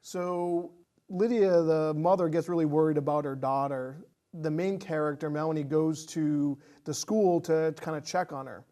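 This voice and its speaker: A man with a fairly high-pitched voice gives a casual film review in conversation. He speaks in a hesitant, halting way, feeling out his phrasing as he goes. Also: steady tone, mellow.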